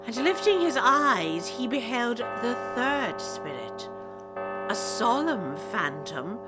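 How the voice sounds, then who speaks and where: A woman's voice: fairly high pitch, sing-song, slow pace. A woman giving scripted, dramatic storytelling narration for a radio play. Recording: plucked guitar music loud behind voice.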